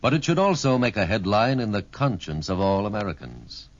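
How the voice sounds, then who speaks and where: A dull vintage radio recording of a male announcer. His voice is fairly low and strongly modulated as he delivers scripted narration with earnest solemnity. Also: very animated, fairly quick. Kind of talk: scripted public service narration.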